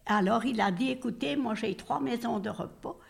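An older woman from Belgium talks on and on in a rambling, chatty way, full of little fillers. Her voice sits a bit high and has a creaky edge. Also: moderate pace. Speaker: nursing home resident, interviewee. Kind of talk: casual interview answers.

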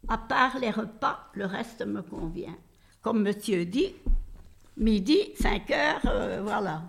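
A woman's voice: medium pitch, animated, slow, creaky, husky. An older woman from Belgium, a nursing home resident chatting at length with many fillers. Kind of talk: casual interview answers.

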